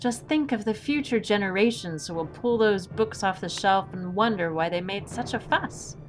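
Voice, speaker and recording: A woman's voice: medium pitch, expressive, normal speed, music in the background, lightly acted, poor audio. Casting: woman for an unflappable, courteous adventuress with dry wit, mid-range voice, audio drama delivery. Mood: wryly musing. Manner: polite, unruffled, wryly philosophical. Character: composed, courteous, witty female masked swashbuckling heroine.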